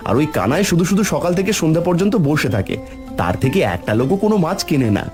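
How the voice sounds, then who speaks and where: A man voicing an honest, hardworking poor fisherman in a cartoon story, gentle but worried. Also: a little high, big pitch swings, fast, over music. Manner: warm, worried. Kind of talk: cartoon voice acting.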